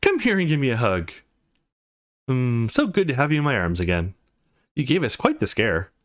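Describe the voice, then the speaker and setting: A man's voice: mid-range voice, big pitch swings, moderate pace, lightly acted. A man voicing an affectionate, caring woman in a visual novel. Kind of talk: visual novel dialogue read-aloud.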